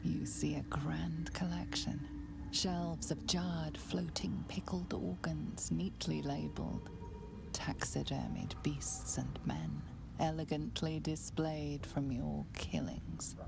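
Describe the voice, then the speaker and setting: Dark fantasy game narrator, real creaky low voice, slow n hushed, ominous, music behind. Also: female voice, fairly even intonation, full character voice. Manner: measured, ornate, hushed and ominous. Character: ominous, macabre, wryly cruel female narrator. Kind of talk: dramatic scripted game narration.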